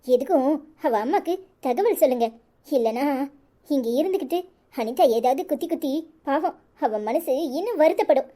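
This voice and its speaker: Lady doing young village woman, really high voice, sympathetic sensible advice, cartoon drama. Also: expressive, moderate pace, warm tone. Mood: advising. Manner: advising, sympathetic. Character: concerned, sensible young village woman advising her relative.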